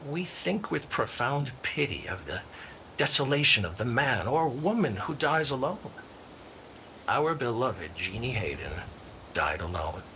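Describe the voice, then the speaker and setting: A man's voice: mid-range voice, very animated, measured pace, sharp tone, full character voice. A man playing a grave minister delivering a florid funeral eulogy in a radio drama. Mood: grave, consoling. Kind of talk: solemn oratory, radio drama acting. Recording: clearly noisy background.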